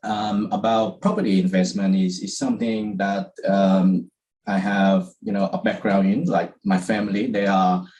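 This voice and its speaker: A man's voice: low-pitched, level tone, moderate pace, darkish tone. A man from Vietnam, a guest in an interview, who talks casually with many fillers and restarts. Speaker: interview guest, property investor.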